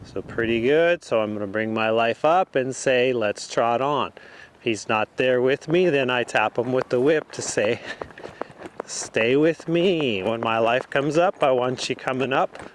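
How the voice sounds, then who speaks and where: A man giving calm, explanatory riding instruction as he rides in an outdoor arena. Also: mid-range voice, a little slow, a little hiss or hum. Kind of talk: instructional commentary while riding.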